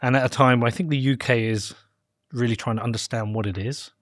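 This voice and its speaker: An English man hosting an interview podcast, with a mid-pitched voice. He talks in a relaxed, self-deprecating way and thinks aloud with frequent "um" and "like". The recording is dry and close-miked. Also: quick pace, no room sound. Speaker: podcast host. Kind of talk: conversational interview.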